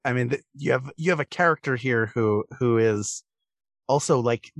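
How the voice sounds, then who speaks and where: A man's voice: mid-range voice, moderate pace, no room sound. Man in close, dry podcast discussion. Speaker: podcast guest. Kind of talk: casual discussion, analytical.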